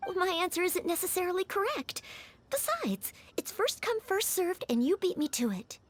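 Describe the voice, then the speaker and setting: A woman's voice: very high-pitched, very animated, normal speed, soft background music. A woman voicing a polite, modest, earnest girl knight in a video game, courteous and deferential. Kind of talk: video game character acting.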